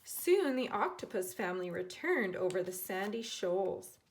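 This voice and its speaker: A woman teacher reading a children's storybook aloud in an expressive way, acting out the characters. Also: medium pitch, measured pace. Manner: expressive, dramatizing characters' lines.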